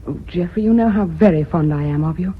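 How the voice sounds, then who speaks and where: An actress with a British accent playing a composed, devoted career secretary in a radio drama. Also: female voice, fairly low pitch, quick pace, slight background noise, natural voice. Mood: regretful, firm. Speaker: actor in a radio play. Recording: dull lo-fi radio recording.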